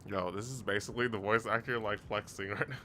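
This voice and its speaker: A man's voice: lowish voice, steady tone, quick pace, sharp tone, slight background noise. A man giving casual commentary as he streams a video game. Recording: game music behind the voice. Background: game music.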